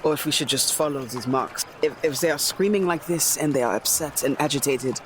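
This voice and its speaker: A man with a slightly high voice plays in a tabletop game. He talks casually, moving between describing his character and chatting with the group. Faint noise sits behind the voice. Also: a little fast, fairly bright tone.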